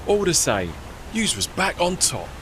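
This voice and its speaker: A man's voice: a little high, sing-song, unhurried, background noise. A man in an audio play acting as a loyal, bashful working tugboat with blunt, colloquial speech. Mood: bashful, admiring. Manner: colloquial, blunt, rough grammar.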